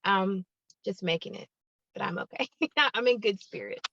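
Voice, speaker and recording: A woman, a podcast guest who talks softly and hesitantly with many pauses. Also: medium pitch, no echo, dull audio. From Alabama, United States. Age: 30s. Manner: soft-spoken, hesitant with many ums, laughs easily. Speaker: guest, YouTuber. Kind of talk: casual podcast chat.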